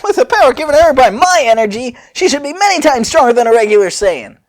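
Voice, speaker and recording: An excitable male anime schemer. The voice jumps very high and swoops around. He is gleeful and delighted with his own creations, exclaiming loudly. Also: quick pace. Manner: exclamatory, self-congratulating.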